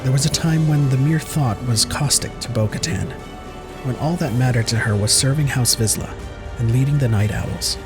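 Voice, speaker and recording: A man's voice: mid-range voice, normal speed, over music. A man reading scripted dramatic narration as the narrator of an audio story.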